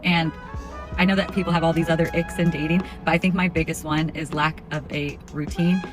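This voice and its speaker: A woman's voice: low-pitched, fairly even intonation, fairly quick, over music. A woman in her thirties giving her frank, firm opinion on dating. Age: 30s. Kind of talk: opinionated vlog monologue.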